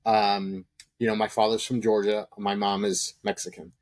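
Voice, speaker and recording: A man co-hosting a casual podcast chat, talking quickly and candidly with casual swearing. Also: fairly low pitch, little pitch movement. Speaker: podcast co-host.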